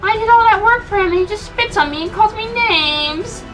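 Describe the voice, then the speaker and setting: A woman voicing a weepy, shrill young female assistant who whines and wails in a very high voice. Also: wildly swooping pitch, quick pace, distant mic, music in the background, heavily acted, rough recording. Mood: wailing. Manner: shrill, whiny, high-pitched wailing.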